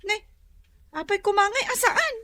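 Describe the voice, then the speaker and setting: A woman's voice: extremely high pitch, lively intonation, moderate pace, sharp tone, full character voice. A woman playing a young, plaintive, chatty student daughter with an Ilocano Filipino accent in a comedy radio drama.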